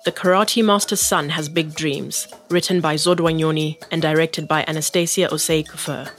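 A woman's voice: low-pitched, steady tone, moderate pace. Woman announcer over prominent music. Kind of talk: scripted programme introduction.

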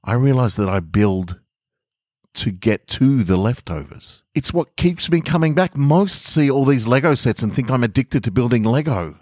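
A man's voice: medium pitch, lively intonation, normal speed, darkish tone, dry recording, studio quality, pitched above the performer's own voice, close to an everyday voice. A man reading a scripted story in the role of an earnest, wistful man confessing an obsession. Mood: wistful, confessional. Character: earnest man obsessed with orphaned toy bricks.

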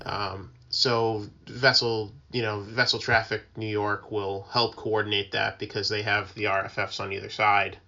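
Man, flat intonation, bright forceful voice, halting conversational explainer, interview guest, slightly dull recording. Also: on the low side, vocal fry. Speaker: interview guest, working tugboat mariner.

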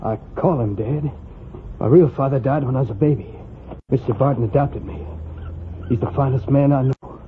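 A male actor plays an anguished young American man in a vintage radio western. He speaks haltingly and earnestly at a middle pitch, stubborn in his resolve. The recording is tinny and clearly noisy. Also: natural voice. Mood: guarded, pained. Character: troubled, stubborn young man, loyal adopted son. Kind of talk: 1930s-50s American radio western acting.

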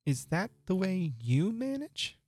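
A woman's voice: fairly low pitch, sing-song, takes it slowly, darkish tone, no room sound, pitched above the performer's own voice, full character voice. A woman voicing a polite, curious young girl who speaks earnestly. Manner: earnest, wondering.

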